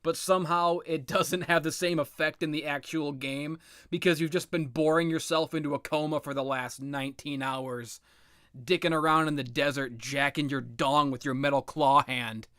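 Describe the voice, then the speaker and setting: A man's voice: fairly high pitch, steady tone, moderate pace, fairly bright tone, clean tone, dry recording, clean studio sound. A man giving casual, unscripted opinion commentary on video games in a blunt, sardonic, sweary way. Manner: blunt, sardonic, profane ranting. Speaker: video game commentator, host.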